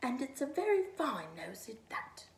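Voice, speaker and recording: A woman's voice: high-pitched, very animated, unhurried, slight background noise, voice pushed up. A woman voicing a proud, vain male snowman who snarls and talks down to others. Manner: snarling, haughty. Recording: echoey room, faint ambience.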